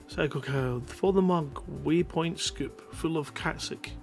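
A man in his thirties hosting a live show on a headset mic, reading short stories with a drawn-out dramatic delivery between bits of banter. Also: a little high, creaky. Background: music in the background.

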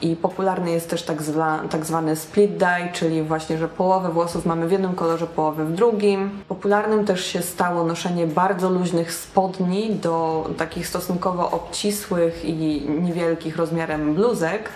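Young woman vlogger, lowish voice, chatty and wry, roomy echo. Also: fairly even intonation, moderate pace, few pauses, a little mellow, a little hiss or hum. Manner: chatty, self-mocking, with English slang. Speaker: alternative fashion vlogger. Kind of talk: casual talk to camera. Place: small bright room indoors.

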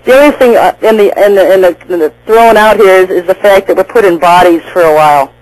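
A woman's voice: mid-range voice, fast talker, a little mellow, dry recording, low-quality recording. A woman hosting a call-in radio show, chatty and laughing easily, often restarting her sentences.

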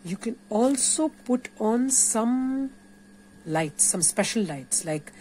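A woman's voice: a little high, expressive, takes it slowly, mellow, a little hiss or hum, poor audio. An Indian-accented woman giving an informal, playful and encouraging step-by-step craft tutorial. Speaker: puppeteer teaching a craft workshop. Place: indoors at a craft table.

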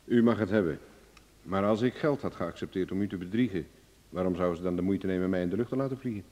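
Cocky, mellow-voiced male investigator, played by an actor. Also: deep voice, quick pace, close to an everyday voice. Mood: confident. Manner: glib, ironic, self-assured. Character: cocky, cool-headed male private investigator, wry and bargaining. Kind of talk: Dutch radio drama acting. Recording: reverberant old radio play recording.

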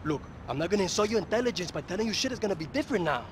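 High-voiced sarcastic young street dealer. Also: male voice, sing-song, racing, background noise. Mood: pleading, persuasive. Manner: sarcastic, profane, streetwise slang. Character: bitter young male street dope dealer, envious and sarcastic. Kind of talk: video game cutscene acting.

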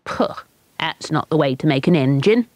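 A woman's voice: fairly low pitch, animated, normal speed, husky, dry recording, voice pushed up. A woman voicing a scornful, meddling little girl who turns sulky and pleading. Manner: bossy and sulky.

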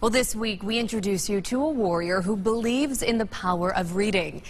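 A woman's voice: medium pitch, moderate pace. A young American woman reading a scripted, upbeat local TV news feature as a reporter. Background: clear music.

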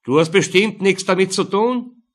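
A man voicing a gruff, irascible Austrian police major and father, loud, sneering and interrogating. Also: high voice, normal speed, voice pushed up. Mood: agitated.

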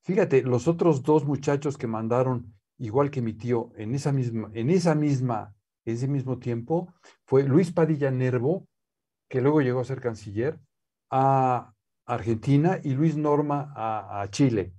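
A man with a Mexican accent in a relaxed seated interview, talking slowly with many fillers and restarts. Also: medium pitch, slightly rough. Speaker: guest, poet's nephew and scholar. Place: living room with bookshelves, seated.

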